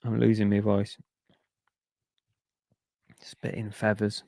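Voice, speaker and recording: A man's voice: on the low side, monotone, slow, vocal fry, mellow, no echo. A man chatting casually on a live stream, reading out viewer comments and grumbling about sales while losing his voice. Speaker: reseller streamer reading chat.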